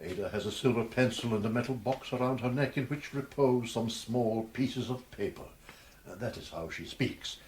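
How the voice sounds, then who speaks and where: An actor playing an anxious Victorian gentleman father in a period audio drama, speaking formally and hesitantly. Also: medium pitch, normal speed, slight background noise, natural voice.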